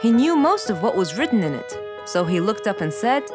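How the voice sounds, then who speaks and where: A woman's voice: medium pitch, very animated, brisk. A woman narrator reading a scripted children's story. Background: music.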